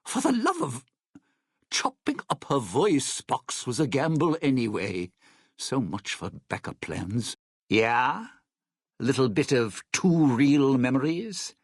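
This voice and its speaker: An actor voicing a scheming, bitter, sardonic crook in a video game, with a sly, theatrical delivery. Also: male voice, mid-range voice, no room sound, studio quality. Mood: suspicious, irritated. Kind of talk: video game character dialogue.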